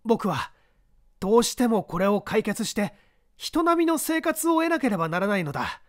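A man's voice: high voice, big pitch swings, normal speed, no echo, clean studio sound, voice pushed up. A man voicing an earnest unemployed young man who argues his case with eager intensity in a mystery reading. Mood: desperate.

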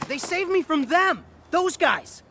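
Very high, flustered teenage boy. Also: expressive, measured pace, creaky, pushed and bright, a little hiss or hum, exaggerated voice. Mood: urgent. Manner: exasperated, protesting, youthful. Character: earnest, flustered, excitable teenage little brother. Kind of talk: animated video game cutscene acting.

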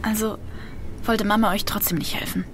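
A female actor as a young woman in a radio play, distressed and incredulous, demanding answers. Her voice sits at an average pitch, with plainly audible background noise. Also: steady tone, moderate pace, natural voice. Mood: shaken, incredulous. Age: young adult. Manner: blunt, incredulous. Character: distressed young daughter demanding the truth about her mother. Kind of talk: German audio drama dialogue.

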